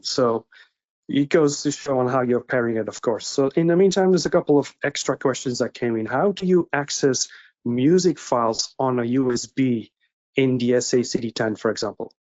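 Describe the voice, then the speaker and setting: A man's voice: mid-range voice, moderate pace, a little mellow. Muffled man moderating questions. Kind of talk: casual online question-and-answer talk. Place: indoor room on a video call. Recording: muffled lo-fi video call audio.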